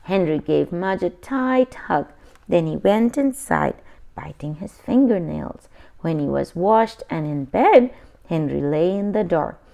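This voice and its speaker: A woman's voice: lowish voice, expressive, measured pace, gravelly, dark tone. A woman reading a children's picture book aloud expressively, adding simple asides for young listeners.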